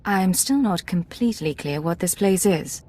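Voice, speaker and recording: No-nonsense female operative, slightly low voice, direct and guarded, game cutscene dialogue. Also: expressive, normal speed, darkish tone. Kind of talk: acted video game dialogue.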